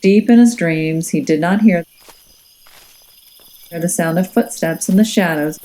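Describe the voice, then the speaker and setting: A woman reading a children's story aloud as a teacher, in a measured, expressive and suspenseful way. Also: lowish voice, poor audio. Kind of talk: dramatic children's storybook narration. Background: music and nature sounds.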